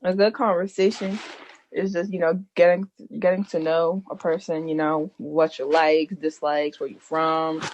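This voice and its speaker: A woman's voice: sits low, darkish tone, a little hiss or hum, poor audio. Stuffy-voiced young woman, rambling. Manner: talks slightly oddly with retainers in; halting, full of "like, you know". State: stuffy with a cold. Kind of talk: casual podcast chat.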